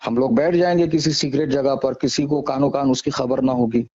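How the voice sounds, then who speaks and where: A lo-fi, muffled phone recording carries a man. His voice is medium in pitch and soft-toned, with a dark, relaxed color. He negotiates politely, in a conciliatory manner. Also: fairly quick, breathy edge. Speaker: head of an Islamic seminary. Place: phone call. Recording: dull, lo-fi phone call recording.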